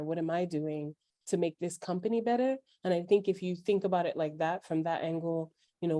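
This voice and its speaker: A woman's voice: lowish voice, warm tone, no room sound. A woman with a Nigerian accent mixed with a New York accent, earnestly giving career advice as an interview guest. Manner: earnest, advising, speaks up plainly. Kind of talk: interview conversation.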